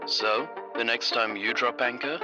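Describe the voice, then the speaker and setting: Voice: mid-range voice, steady tone, a little slow, music in the background, lightly acted. An actor playing a suave, sultry, mock-serious announcer in a parody radio advert.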